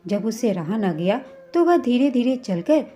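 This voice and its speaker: A woman reads a scripted story in a fairly high, soft and mellow voice. She works as a storyteller, narrating the tale. The sound is a little dull, with music playing behind her. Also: sing-song, unhurried. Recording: slightly dull, muffled recording. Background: background music.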